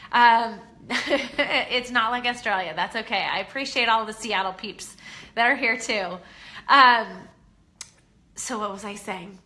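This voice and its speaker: A woman's voice: on the high side, measured pace, pushed and bright. A middle-aged woman with a head cold and a nasal voice, chatting as a parenting coach in a live online class, encouraging and full of ums. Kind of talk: casual live-stream talk to viewers.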